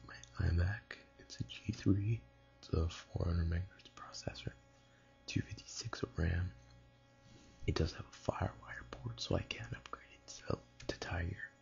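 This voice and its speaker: A man whispering a casual late-night tech vlog in a halting way, as if thinking aloud. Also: deep voice, fairly even intonation, vocal fry, darkish tone, lo-fi sound. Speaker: amateur tech vlogger.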